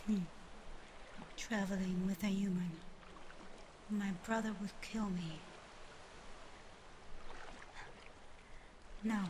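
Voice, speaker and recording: A woman voicing a proud, guarded but softening female water dragon in an ASMR roleplay, speaking softly and teasingly with breathy murmurs. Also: mid-range voice, lightly acted. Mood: warm, reflective. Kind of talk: ASMR roleplay, scripted character monologue. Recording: clear background ambience noise.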